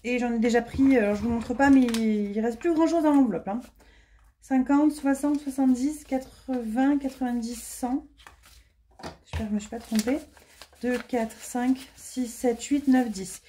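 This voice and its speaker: A woman in her late thirties chatting casually to camera at home about her monthly budget, laughing often and filling pauses with lots of hesitations. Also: a little high, fairly even intonation, mellow, a little hiss or hum. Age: 30s. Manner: chatty, self-deprecating, laughs often, many fillers. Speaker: budgeting vlogger. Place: home, indoors.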